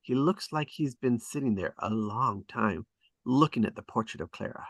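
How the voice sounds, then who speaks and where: A man reading stage directions aloud at a script table read, in a deliberate, descriptive way. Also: medium pitch, expressive, fairly bright tone, no echo.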